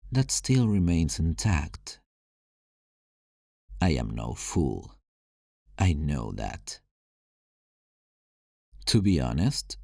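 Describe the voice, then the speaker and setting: A man playing an obsessive kidnapper in an ASMR roleplay, tender yet menacing, speaking intimately and deliberately to a captive as he justifies himself. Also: a bass voice, creaky, a little mellow, no room sound, studio quality, exaggerated voice.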